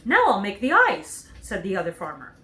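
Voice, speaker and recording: A woman voicing a chatty, self-satisfied Munchkin farmer in a storybook, brisk and emphatic. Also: mid-range voice, huge pitch swings, pushed and bright, echoey room. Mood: casual.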